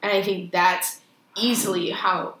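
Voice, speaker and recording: A woman's voice: mid-range voice, fairly even intonation, fairly bright tone. Young woman musing in an echoey room. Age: young adult. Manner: thoughtful, measured, pauses to rephrase. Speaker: podcast co-host. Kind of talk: casual conversational podcast. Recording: echoey room with faint noise.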